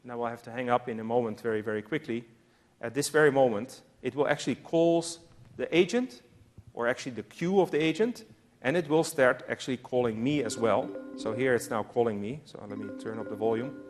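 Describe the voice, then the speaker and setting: A man's voice: medium pitch, fairly quick, faint music behind. A man from the Netherlands with a Dutch accent gives a live technical demo, explaining his on-screen actions step by step. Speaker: software presenter.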